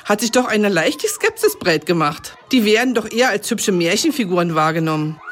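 A woman's voice: medium pitch, big pitch swings, fairly quick, a little hiss or hum, close to an everyday voice. A woman playing a patient old kindergarten teacher who lectures the children in a radio comedy for kids. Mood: explaining. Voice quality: explaining, singsong listing. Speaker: kindergarten teacher Frau Hühnerbein.

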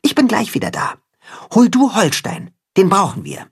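Man voicing a high-pitched, plucky young chicken. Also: huge pitch swings, unhurried, husky, exaggerated voice. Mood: urgent, decisive.

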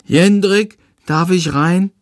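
A man's voice: high voice, takes it slowly, dry recording, studio quality, voice pushed up. A man voicing a sulky, whiny little boy in an audiobook. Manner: whiny, pouting. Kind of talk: audiobook character voice.

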